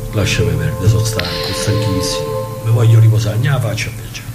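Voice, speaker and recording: A man's voice: deep voice, a little fast, lightly acted. An actor in a radio play as a weary, grumbling old man with a Roman Italian accent. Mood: exhausted. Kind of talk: radio theatre acting. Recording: loud music over the voice.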